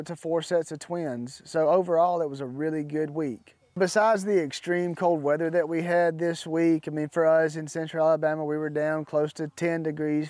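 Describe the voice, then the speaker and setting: A man talks to camera in a barn at night, his voice a bit stuffy and nasal from a recent cold. His tone is mellow and sits a little high for a man. He rambles easily, with plenty of fillers and restarts. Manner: halting, many fillers and restarts. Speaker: farm vlogger. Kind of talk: casual talk to camera.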